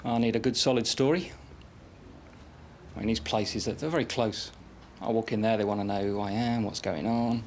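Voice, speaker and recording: An actor playing a calm, confident detective constable in a TV police drama. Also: male voice, lowish voice, moderate pace, slight background noise, natural voice. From London.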